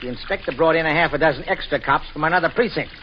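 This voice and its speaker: An actor playing a stern police officer guarding a prisoner in 1940s radio style. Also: male voice, fairly high pitch, racing, natural voice. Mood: stern, smug. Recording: dull, noisy 1940s radio recording.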